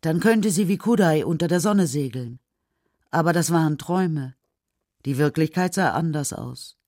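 A woman's voice: low voice, expressive, normal speed, a little mellow, no echo, studio quality. A woman narrating a children's radio drama as a scripted storyteller.

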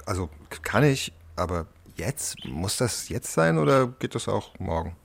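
Loving dad in a kids radio play, rough husky voice, swings up and down a lot, down-to-earth. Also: male voice, lowish voice, animated, exaggerated voice. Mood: halting. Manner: colloquial, affectionate, halting when reading aloud. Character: warm, doting working-class father of a schoolboy. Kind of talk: German children's radio play acting.

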